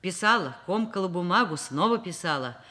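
An actress playing an overworked middle-aged professor and mother in a Soviet radio drama, expressive, wry and self-mocking. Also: fairly low pitch, moderate pace. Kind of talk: Soviet radio drama acting, first-person narration.

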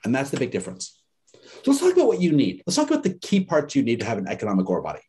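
A man teaching an online class in a lively, conversational way, telling stories and acting out the people he quotes. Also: mid-range voice, rapid-fire. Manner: animated storyteller, acts out quoted people. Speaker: course instructor.

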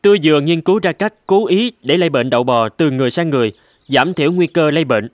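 A man's voice: on the high side, expressive, fast talker, no room sound, close to an everyday voice. A man playing an earnest, caring country doctor in a cartoon dub, serious and concerned. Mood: earnest, explaining. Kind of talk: cartoon dub with first-person storytelling. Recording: music under the voice.

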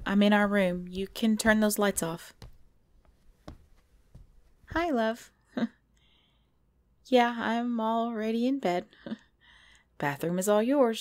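A woman playing a cute, easily scared girlfriend in an intimate ASMR roleplay, pleading and whiny, often hushed. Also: mid-range voice. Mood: affectionate, teasing. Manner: pleading, whiny, dropping into hushed self-talk. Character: cute, jumpy girlfriend frightened by scary movies. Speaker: roleplay audio performer.

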